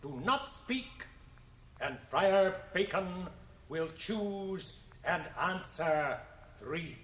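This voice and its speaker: Theatrical illusionist: high voice, very slow, commanding, solemn invocations. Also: male voice, lively intonation, a bit bright, heavily acted. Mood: commanding, hushed. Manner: theatrical, incantatory, measured proclamation. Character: grandiose stage magician commanding a solemn illusion show. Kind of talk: 1980 radio drama acting. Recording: echoey theatre effect, cassette radio transfer.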